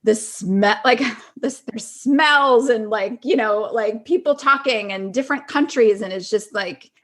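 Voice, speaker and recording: A woman's voice: fairly high pitch, measured pace. A woman in her forties chatting casually and reminiscing as a podcast guest, informal, giggly and loosely rambling. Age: 40s.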